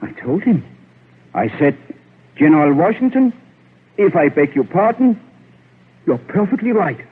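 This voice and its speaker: A humble, earnest baker in a 1940s radio play. His voice is husky and fairly high for a man, heard through a narrow, muffled old broadcast. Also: sing-song, unhurried, full character voice. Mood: earnest, proud. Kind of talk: 1940s radio drama acting.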